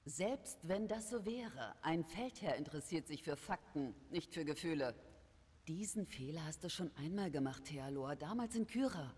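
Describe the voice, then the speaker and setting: A woman's voice: low-pitched, lively intonation, moderate pace, a little mellow, slightly rough, long reverb tail. An actress playing a cool, blunt woman who rebukes a commander, in a game. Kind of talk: game voice acting.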